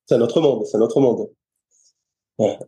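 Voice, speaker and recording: A man's voice: medium pitch, a little slow, dark tone. A middle-aged man from France, a personal trainer, chatting in an interview and stopping often to restart his sentences. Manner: hesitant, many restarts and fillers. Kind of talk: casual interview chat.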